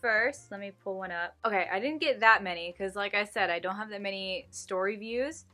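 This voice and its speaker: Bright-voiced young American woman vlogging. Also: mid-range voice, moderate pace, soft background music. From Houston, United States. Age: early 20s. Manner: informal, rambling, emphatic. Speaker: vintage reseller and vlogger. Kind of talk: casual chatty vlog Q&A.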